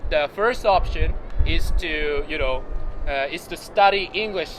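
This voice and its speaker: A young man raised in Japan, speaking English as an interview guest, halting with many fillers. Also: a little high, a little hiss or hum, poor audio. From Philippines, raised in Japan. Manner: halting, frequent fillers, simple grammar. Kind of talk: casual interview. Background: background music.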